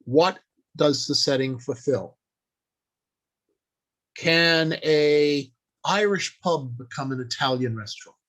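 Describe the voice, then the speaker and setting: A man with a slightly high-pitched voice teaches in a workshop talk. He speaks slowly and deliberately, landing short, emphatic phrases with pauses between them. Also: fairly bright tone. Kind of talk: instructional talk on writing.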